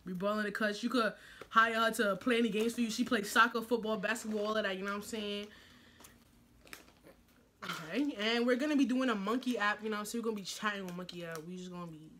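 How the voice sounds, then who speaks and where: A young woman hosting a vlog, chatting with strangers in loud, joking slang full of trash talk. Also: medium pitch, fast, a little hiss or hum. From New York. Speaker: vlogger host. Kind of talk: casual chat, video chatting with strangers.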